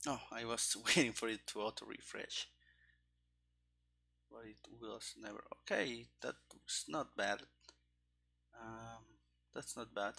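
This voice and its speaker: Male, thirties, casual coding streamer thinking aloud. Bright, crackly voice with plenty of fry, halting delivery. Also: medium pitch, steady tone. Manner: halting, musing to himself. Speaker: streamer, game developer. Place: indoor room at a computer. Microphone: headset. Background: keyboard typing and clicking.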